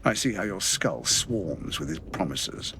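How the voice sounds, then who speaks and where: A deep, creaking, unnatural voice for a gloating fantasy assassin. It drags slowly, swooping through a wide range as it spits contempt. A faint hiss sits under the line. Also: animated, heavily acted. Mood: venomous. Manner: sneering, venomous, gloating. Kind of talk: video game cutscene acting.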